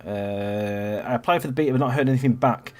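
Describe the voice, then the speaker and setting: A man's voice: mid-range voice, a little fast. Congested man hosting a stream. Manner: rambling, chatty, with many fillers and restarts. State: congested with a cold. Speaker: stream host. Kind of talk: casual gameplay livestream chat.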